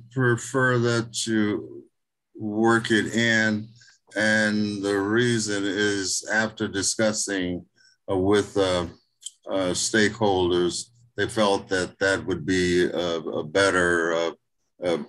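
A man testifying at a hearing over a video call, halting, with many fillers and restarts. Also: fairly low pitch, flat delivery, a bit bright, low-quality recording. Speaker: state representative presenting a bill.

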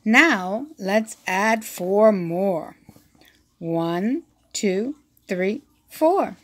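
A woman reading a children's math picture book aloud, counting slowly and asking the listener questions, recorded on a phone held over the book. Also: mid-range voice, expressive, fairly bright tone. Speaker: read-aloud teacher.

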